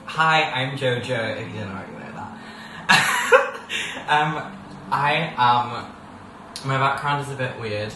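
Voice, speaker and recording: A sixteen-year-old man hosts a casual podcast chat at a table, joking, rambling and laughing as he goes. His voice sits back from the microphone in an echoey room. A steady background noise runs underneath. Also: medium pitch, takes it slowly. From England. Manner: playful, laughs often, rambling. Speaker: podcast host. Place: indoors at a table. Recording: roomy sound from a distance with background noise.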